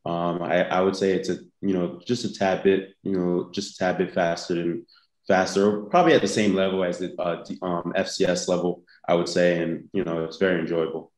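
A young man from the United States, a football player being interviewed, answering in an easygoing, halting way with many pauses. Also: low voice, little pitch movement, a little mellow. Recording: roomy echo.

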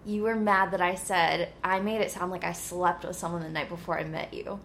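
A woman in her twenties hosting a casual podcast, loud and bubbly, laughing often. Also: fairly low pitch, steady tone, a little fast, slight background noise. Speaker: podcast host. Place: New York apartment room.

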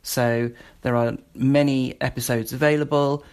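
A man's voice: medium pitch, steady tone, unhurried, darkish tone, clean tone. A British man giving an informal spoken demonstration as a presenter, rambling a little and feeling his way through. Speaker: presenter demonstrating a voice assistant skill.

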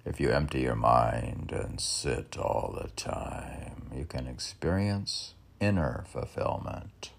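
A man reads poetry aloud casually, rambling into his own commentary and musing haltingly, as if arguing with himself. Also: a bass voice, animated, creaky. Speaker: poet reading own poems aloud.

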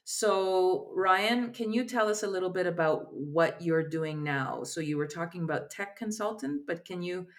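A woman's voice: lowish voice, normal speed, hardly any pauses. A woman co-hosting a podcast in a casual, chatty style. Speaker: podcast co-host. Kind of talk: casual chat.

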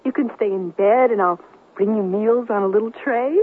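Woman playing earnest gullible young girlfriend, raspy voice, old radio play, muffled phone-like sound. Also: medium pitch, normal speed, natural voice. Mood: tender, concerned. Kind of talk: radio drama acting. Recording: thin, muffled 1970s radio drama recording.

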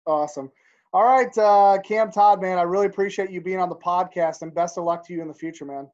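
A man hosting a podcast, asking casual questions in a relaxed, encouraging way. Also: sits high. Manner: relaxed, encouraging, quick to laugh along. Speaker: podcast host. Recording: dull, muffled audio.

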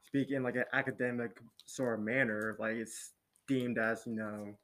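A man's voice: medium pitch, steady tone, normal speed, a bit bright, slight background noise. A young man talks in a casual group discussion with a mid-range voice. His delivery is informal and opinionated, sprinkled with slang and swearing. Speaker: panel participant.

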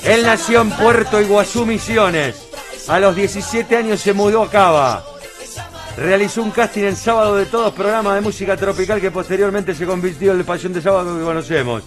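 A man's voice: high-pitched, brisk, music in the background. A man with an Argentine accent hosting a show, presenting and interviewing with a theatrical, emphatic delivery. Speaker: radio or show host.

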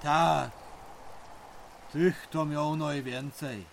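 An actor playing an older village uncle telling folksy, dramatic memories and ghost tales in an audio drama. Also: male voice, a little high, fairly even intonation, drawn-out words, vocal fry, slight background noise, full character voice. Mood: wistful, ominous. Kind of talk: audio drama acting, reminiscing narration.

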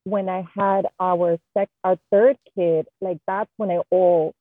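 A woman's voice: on the low side, a little slow, no room sound, narrow, tinny audio. A woman in her thirties from Queens, a guest interior designer telling candid stories in a casual interview. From Queens, New York. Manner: candid, animated storyteller with slang.

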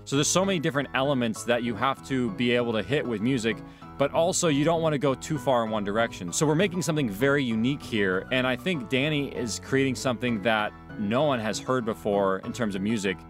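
A man's voice: medium pitch, fast talker. A man speaking as an audio drama creator in a documentary interview. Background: music.

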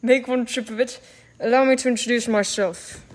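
A woman's voice: on the high side, fairly even intonation, normal speed, close to an everyday voice. A woman voicing a big, bluff, breezy man in an audiobook, hearty and hospitable, with clipped jovial phrasing. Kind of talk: audiobook character voice.

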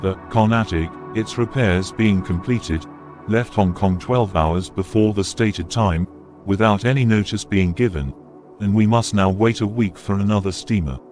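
An actor playing a scheming Victorian detective in an audiobook, with formal, courteous speech. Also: male voice, on the low side, moderate pace, a little mellow, lightly acted. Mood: polite regret. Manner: formal, polite. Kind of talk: dramatized audiobook character acting. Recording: clear music bed behind voice.

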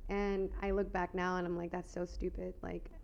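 A woman's voice: on the low side, flat delivery, moderate pace, gravelly, darkish tone, dull audio. A young American woman talking as a guest in casual chat, full of "like" and easy laughs. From United States. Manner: chatty, fills with "like", laughs easily. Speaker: guest, figure competitor.

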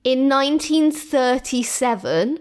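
A woman's voice: high voice, expressive, slow. A woman co-hosting a podcast, talking in a chatty, informal and opinionated way, as if with a friend. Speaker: podcast co-host. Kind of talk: casual chat between friends.

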